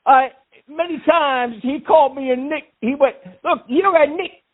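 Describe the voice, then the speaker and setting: A man's voice: very high voice, normal speed. A man playing a brash, profane caller persona who repeats himself and hurls insults. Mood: combative. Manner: repetitive, profane, trash-talking. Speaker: prank caller. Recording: tinny phone line.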